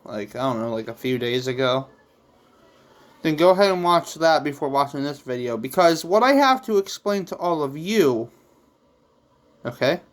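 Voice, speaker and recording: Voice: mid-range voice, lively intonation, moderate pace, pauses often. A vlog host who makes manga, talking casually and in a rambling way to viewers, with theatrical touches. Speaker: YouTube host and manga creator.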